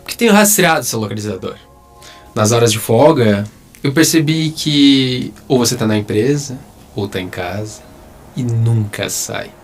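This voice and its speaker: A man with a Brazilian accent playing a sly, confident, watchful office colleague in an audio drama. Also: mid-range voice. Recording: voice over a music bed.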